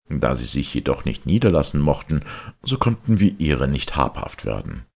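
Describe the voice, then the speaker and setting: Very deep, gravelly male storyteller. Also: darkish tone. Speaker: audiobook reader. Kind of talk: literary audiobook narration.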